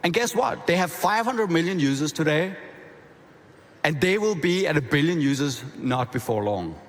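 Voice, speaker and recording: A man's voice: on the high side, moderate pace. Danish-accented man giving a keynote. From half Danish. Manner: conversational, energetic with repeated restarts. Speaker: keynote speaker. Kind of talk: conference keynote talk. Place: large conference hall. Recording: reverberant hall.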